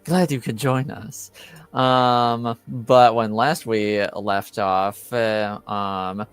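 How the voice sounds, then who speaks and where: Male game master, clean resonant tone, unhurried and searching for words, casual tabletop recap. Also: fairly low pitch, fairly even intonation, smooth, no echo.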